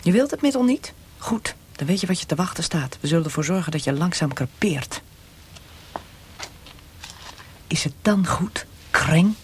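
An actor playing a cold, insistent military interrogator in a radio play, with a hushed, breathy, measured voice. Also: male voice, fairly high pitch, lively intonation, slight background noise, natural voice. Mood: threatening. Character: cold, pressuring military interrogator offering a mercy death.

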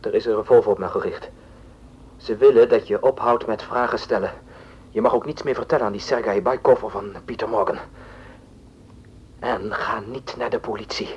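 An actor playing an urgent, pleading male captive delivering a warning in a radio drama, speaking deliberately. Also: fairly low pitch, fairly even intonation, a little mellow, close to an everyday voice. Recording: dull telephone scene, noisy old recording.

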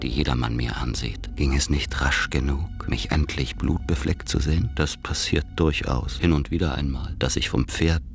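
An actor playing a ruthless, world-weary warlord in a literary German radio play, brooding and declamatory. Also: male voice, steady tone, moderate pace, hardly any pauses, music in the background. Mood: brooding, bitter. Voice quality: very deep, gravelly.

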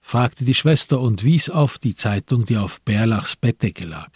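A man narrating a radio crime play in a husky voice, with measured, literary storytelling. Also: medium pitch, lively intonation, a little mellow, dry recording, studio quality. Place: dry radio studio.

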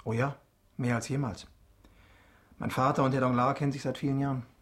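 A man's voice: medium pitch, little pitch movement, a little fast, close to an everyday voice. An actor playing a candid young nobleman in a German radio drama, polite and conversational with a resigned air. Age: young adult. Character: candid young viscount, wellborn, reluctant bridegroom.

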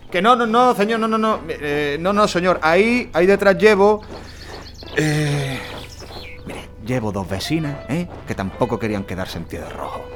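Nervous, high-voiced Spanish truck driver. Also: male voice, very animated, normal speed, a bit bright, a little hiss or hum. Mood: nervous, stammering. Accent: Spanish, from Spain. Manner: stammering, hesitant, repetitive. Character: anxious, pleading truck driver, placating and protective. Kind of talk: audio drama acting.

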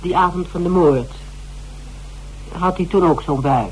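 An actress playing a polite, probing investigator in a radio play, asking questions. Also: female voice, fairly low pitch, a little slow, a little mellow, lightly acted, rough recording. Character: polite, probing woman investigator drawing people out. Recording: hum and hiss of old radio recording.

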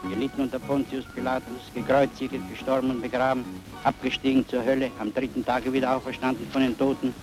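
An actor playing a solemn priest at a burial, reciting liturgy in a formal, formulaic manner. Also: male voice, medium pitch, little pitch movement, fast, over music, lightly acted, poor audio.

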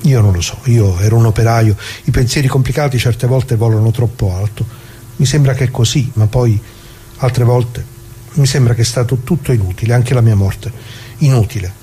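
Man acting a mellow, mournful old worker. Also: mid-range voice, slight background noise, close to an everyday voice. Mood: reflective, doubtful. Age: older. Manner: halting, repetitive, emphatic pauses, direct address to listeners. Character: dead retired working-class man, bitter, plain-spoken, mournful. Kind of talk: theatrical radio monologue.

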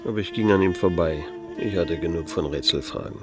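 Deep and dry, this is a man playing a disillusioned private detective of noir radio drama. He is laconic and wry, with faint music underneath. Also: a little fast, close to an everyday voice. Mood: wry, reflective. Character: disillusioned, world-weary male private detective, wry narrator-hero. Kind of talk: noir radio drama, first-person narration.

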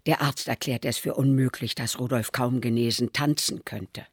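An elderly mother in a radio play, looking back on her son in a measured, matter-of-fact way. Her low voice is gravelly and husky, recorded very dry. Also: steady tone, no room sound, full character voice. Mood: sober recollection. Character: aging mother soberly recalling her sickly, troubled son.

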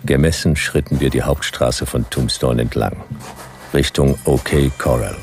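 An actor playing a hard-boiled detective who narrates his own story tersely in a radio play, with a gravelly voice. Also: a bass voice, a little slow, over music, exaggerated voice. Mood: terse, grim. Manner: terse, clipped sentences.

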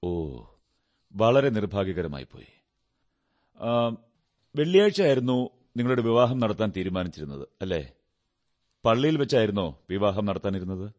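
A man acting in a radio drama as a shrewd, methodical detective who asks questions in a measured, deliberate way. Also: on the low side, big pitch swings, a little mellow, lo-fi sound. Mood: thoughtful. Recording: radio drama with background music. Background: music.

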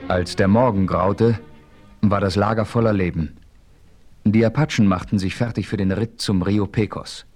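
An actor as a composed western adventurer, telling his own story in a German radio play with measured narration. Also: on the low side, fairly even intonation, a little mellow, soft background music, lightly acted. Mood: composed, narrating. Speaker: first-person narrator.

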